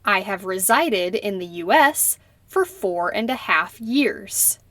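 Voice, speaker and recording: A young woman playing a polite citizenship applicant who answers slowly and carefully in a scripted practice interview. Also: medium pitch, animated, a bit bright, dry recording, studio quality. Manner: slow, careful, deliberate answers. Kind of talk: scripted role-play interview.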